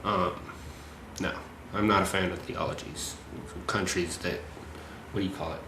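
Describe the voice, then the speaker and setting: Man podcast guest, fairly low voice, echoey room, background noise. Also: fairly even intonation. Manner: halting, searching for words. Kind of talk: casual chat.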